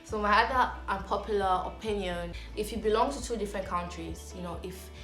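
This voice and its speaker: A woman's voice: medium pitch, fairly quick, a bit bright. A young woman from Zambia chatting to camera as a vlogger, rambling casually with lots of fillers and restarts. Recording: echoey room with music behind. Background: clear background music.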